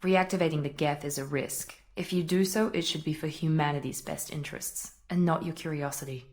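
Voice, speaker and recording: An actress playing a composed, assertive officer in a sci-fi game, speaking formally and precisely. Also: female voice, low-pitched, fairly even intonation, brisk, a little mellow, close to an everyday voice. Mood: cool, insistent. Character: composed, assertive female officer of a spacefaring organisation. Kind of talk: video game voice acting.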